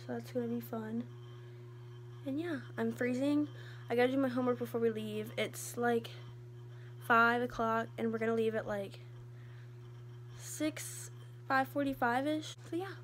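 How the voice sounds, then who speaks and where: Stuffy teen girl rambling into her phone at home, high voice, kinda sniffly. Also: fairly even intonation, unhurried, long pauses, a little hiss or hum. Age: teens. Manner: chatty, rambling with lots of "like". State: congested, sniffly. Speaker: vlogger. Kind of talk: casual vlog chat. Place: home rooms and bedroom. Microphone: phone held at arm's length.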